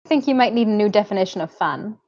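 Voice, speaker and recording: A woman's voice: mid-range voice, animated, quick pace, darkish tone, close to an everyday voice. A young woman reading a teasing female college student in an amateur table read over a lo-fi call. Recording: dull lo-fi online call.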